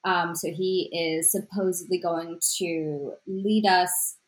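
A woman's voice: lowish voice, fairly even intonation, slow, rough recording. A woman author born in Sri Lanka, answering interview questions thoughtfully with many "you know" fillers and restarts. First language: Tamil. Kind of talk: interview conversation.

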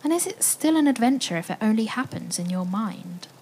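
A woman narrating a children's audio play as a storyteller, expressive and full of wonder, addressing listeners directly. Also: fairly high pitch, a little fast, very noisy. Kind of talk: dramatic scripted children's storytelling. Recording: loud music and effects behind.

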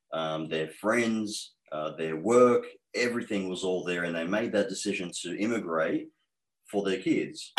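A man's voice: low voice, roomy sound, low-quality recording. A man in his thirties with an Australian accent, a guest in a podcast interview, talking in an earnest, upbeat way with many fillers and restarts. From Australia, born in Egypt. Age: 30s.

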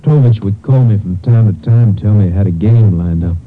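Low, dejected young man played by an actor. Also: brisk, slight background noise, close to an everyday voice. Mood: regretful. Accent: American. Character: dejected, guilt-ridden young male gambler, a rancher's stepson. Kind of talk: 1950s radio drama acting. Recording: thin, narrow 1950s radio recording.

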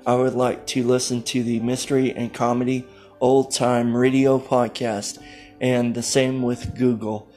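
Casual male podcast host. Slow, halting delivery, medium pitch, faint music underneath. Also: level tone, a little mellow.

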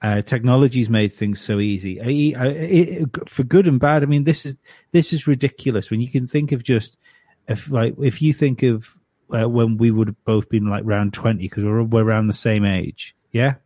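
A man's voice: medium pitch, moderate pace, dark tone, dry recording, studio quality. A man in his late thirties, a podcast guest chatting casually, stumbling over restarts and repeated words. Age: 30s. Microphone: home studio mic.

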